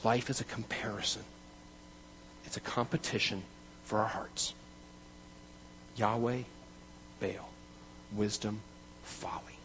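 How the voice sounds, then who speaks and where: A man, as a pastor, preaching a sermon, building to loud, emphatic declarations and then dropping to quiet, measured reading. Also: fairly low pitch, fairly even intonation, slight background noise, poor audio.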